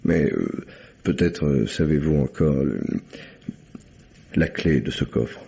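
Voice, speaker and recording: An actor playing a suave, sly English gentleman in a French radio mystery, speaking with hesitant, drawling, ironic politeness. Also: extremely low pitch, expressive, slow, gravelly, slightly rough, exaggerated voice. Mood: sly, coaxing. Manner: urbane, hesitant, drawling politeness with wry irony. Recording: dull, muffled old radio recording.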